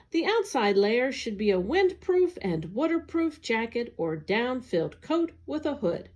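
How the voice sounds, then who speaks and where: A woman's voice: a little high, a little mellow. A woman reading a scripted educational article aloud for children, in a careful, instructional way. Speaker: educational narrator, agency staff reader.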